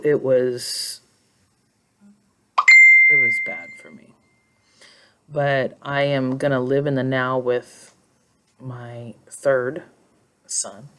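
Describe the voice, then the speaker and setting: A woman in her forties talking casually and emotionally to her phone in a personal vlog, rambling and reflective. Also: very deep voice. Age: 40s. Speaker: vlogger. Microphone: phone held in hand.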